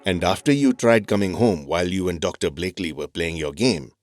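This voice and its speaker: Deep-voiced kindly doctor played by a man. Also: a little fast, soft background music, natural voice. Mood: warm, reassuring. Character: kindly older family doctor and mentor, male, reassuring.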